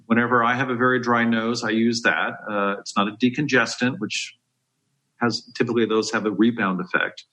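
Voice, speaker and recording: A man's voice: medium pitch, steady tone, a little fast, pushed and bright, low-quality recording. A man giving health advice as a dentist on a podcast, explaining step by step in an emphatic, conversational way.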